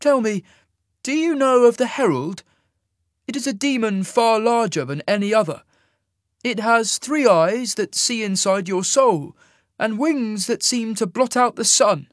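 A man voicing a commanding, calm immortal adjudicator in a fantasy audiobook, with measured, formal speech. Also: high voice, no echo, studio quality, pitched above the performer's own voice. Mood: solemn questioning.

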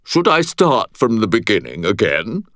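A man's voice: fairly low pitch, huge pitch swings, moderate pace, fairly bright tone, no echo, studio quality. A man voicing a haughty aristocratic wizard lawman for an audiobook, with a pompous, drawling British accent. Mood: haughty, condescending. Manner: pompous, drawling, exaggeratedly snooty.

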